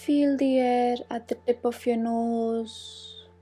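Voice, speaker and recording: Very slow, soft-toned woman guiding meditation. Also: on the high side. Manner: calm, soothing, unhurried instruction with long pauses. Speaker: meditation guide. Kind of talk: guided meditation. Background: soft meditation music.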